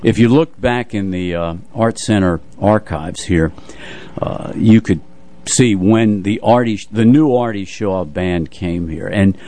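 A man in his eighties reminiscing casually as a radio music show host, telling stories in a measured way and repeating phrases. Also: on the low side, mellow. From United States, New Jersey area. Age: 80s. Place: radio studio.

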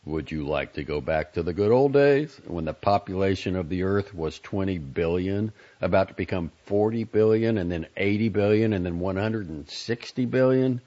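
A man's voice: sits low, lively intonation, normal speed, hardly any pauses, mellow, highs cut off, pitched above the performer's own voice. Man playing lofty, emphatic old doctor, swooping delivery. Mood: severe, lecturing. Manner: emphatic, oratorical. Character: lofty, lecturing centuries-old male doctor, zealous and courteous.

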